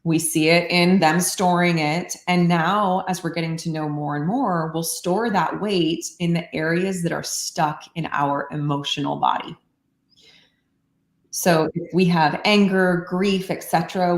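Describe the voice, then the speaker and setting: A woman explaining meditation practice in a calm, unhurried way, often saying "like", in casual podcast conversation. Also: fairly low pitch, echoey room. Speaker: meditation teacher.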